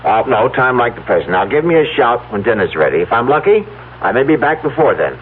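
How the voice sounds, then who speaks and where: An actor playing a devoted, stubborn farmer husband in an old radio drama, with an emphatic, theatrical delivery. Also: male voice, mid-range voice, sing-song, fast, lightly acted. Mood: frustrated, determined. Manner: theatrical, emphatic, stammering when unsettled. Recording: tinny, hissy old radio recording with hum.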